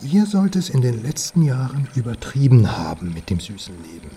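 An actor plays a worldly male informant in a radio drama. His mid-pitched voice is soft-edged and dark, and he talks in wry, knowing, clipped phrases. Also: very animated, normal speed, a little hiss or hum, lightly acted. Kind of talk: radio crime drama dialogue.

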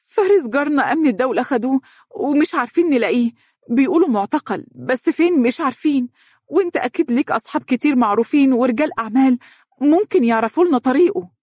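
A woman's voice: high voice, animated, quick pace, darkish tone, slightly rough, dry recording, studio quality, voice pushed up. A woman voicing a desperate young wife with an Egyptian accent, trembling and pleading through tears. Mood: tearful pleading. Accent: Egyptian Arabic. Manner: trembling, tearful delivery.

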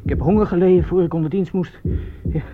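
Actor as high-voiced, frightened young army recruit. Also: male voice, lightly acted. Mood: timid, anxious. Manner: hesitant, halting, stammering. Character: frightened, naive eighteen-year-old army recruit. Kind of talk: radio play acting. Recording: muffled old radio play with faint noise.

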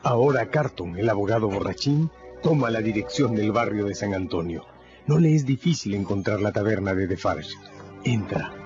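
A man with a fairly low voice narrates a radio drama from a script. He tells the story dramatically, pausing deliberately. Music plays behind, and the recording is a touch dull. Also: expressive.

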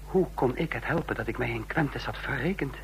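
An actor playing a cold, calculating intelligence chief who speaks formally and deliberately in a radio drama. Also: male voice, medium pitch, a bit bright, close to an everyday voice. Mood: brooding. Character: cold calculating intelligence chief, narrating his own downfall. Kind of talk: radio drama acting and first-person narration. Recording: vintage radio play with faint hum.

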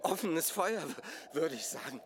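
An actor playing a fussy, blustering engineer who easily gets indignant, in a radio drama. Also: male voice, fairly high pitch, expressive, moderate pace, a little hiss or hum. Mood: hushed alert. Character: indignant, fussy male engineer, grandiose and calculating.

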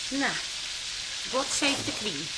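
A woman's voice: high-pitched, lively intonation, takes it slowly, noisy background. An actress playing a flustered, easily embarrassed city woman in a radio drama, with an exasperated, dramatic manner. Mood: curious.

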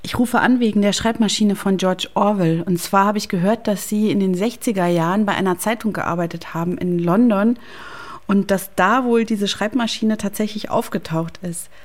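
A woman in her forties narrates in the first person for a radio documentary. Her voice sits at a medium pitch, and she speaks as the author of her own scripted story. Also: moderate pace, no room sound. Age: 40s.

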